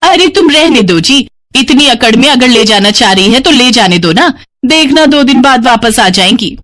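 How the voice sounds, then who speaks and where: A woman voicing a resentful, sharp-tongued middle-aged aunt in a moral story, sarcastic and scolding. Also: on the high side, lively intonation, moderate pace, voice pushed up. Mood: scornful.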